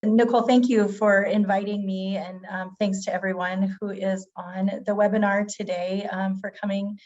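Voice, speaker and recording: A woman's voice: medium pitch, little pitch movement, normal speed, a little mellow. Woman presenter for a medical webinar, mid-range, slightly scratchy from a cold, lo-fi sound. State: getting over a cold. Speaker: physician presenter. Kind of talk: webinar presentation, semi-scripted. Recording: dull, band-limited webinar audio.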